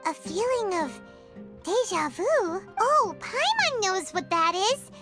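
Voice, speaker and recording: A woman voicing a tiny, excitable female fairy companion in a video game, squeaky, childlike and exclamatory. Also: slow pace, music in the background, full character voice. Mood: chatty. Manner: speaks of self in third person, exclamatory. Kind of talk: video game character acting.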